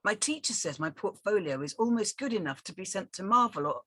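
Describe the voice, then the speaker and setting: A woman playing a quiet, fresh-faced man in his twenties, hesitant and bemused, in a script reading. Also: medium pitch, lively intonation, brisk, dry recording. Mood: flustered. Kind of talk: script table-read acting.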